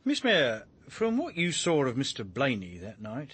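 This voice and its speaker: An actor playing a stern English chief inspector in a radio drama, questioning formally and persistently. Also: male voice, mid-range voice, wildly swooping pitch, moderate pace, a bit bright, slightly rough, heavily acted. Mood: probing.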